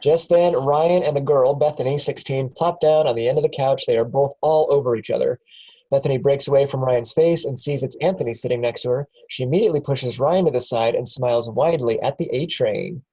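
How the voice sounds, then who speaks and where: Table-read narrator, male, mellow and plain. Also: medium pitch, fairly quick, poor audio. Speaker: narrator reading stage directions.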